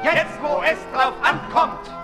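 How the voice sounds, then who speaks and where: An actor playing a taunting chorus singer, half-singing drawn-out verse theatrically in an old radio play. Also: male voice, very high-pitched, steady tone, over music. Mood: mocking pity. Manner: drawn-out, half-sung declamation. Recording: 1960s radio recording, reverberant hall. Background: musical accompaniment.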